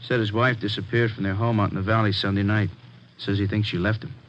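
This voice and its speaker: A man's voice: fairly low pitch, flat delivery, quick pace, fairly bright tone, close to an everyday voice. An actor playing a worried, insistent male police detective, colloquial and earnest, in a vintage radio crime drama. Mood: earnest reporting. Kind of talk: 1940s-50s radio crime drama acting. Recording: muffled, faintly noisy old radio recording.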